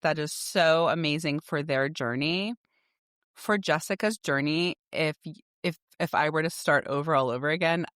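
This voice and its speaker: A woman's voice: sits low, a bit bright, dry recording, studio quality. A woman in her forties co-hosting a podcast in a casual, candid and emphatic chat. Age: 40s. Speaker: podcast co-host.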